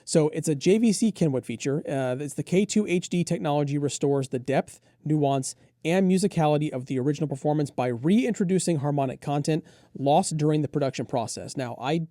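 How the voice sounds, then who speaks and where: A man's voice: fairly high pitch, fairly quick, mellow, dry recording, studio quality. A man co-hosting a gear review, enthusiastically and conversationally running through detailed product specs. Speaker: audio gear reviewer and co-host.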